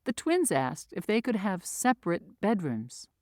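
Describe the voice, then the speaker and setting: A woman reads vocabulary in a slow, measured cadence, her voice sitting a little low but swinging widely in pitch as she sounds out each word. The recording is dry and close, with no room sound around her. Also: huge pitch swings, a little mellow, dry recording. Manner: reads each word twice, then its meaning and an example sentence. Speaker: vocabulary reader. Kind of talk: slow, deliberate vocabulary reading.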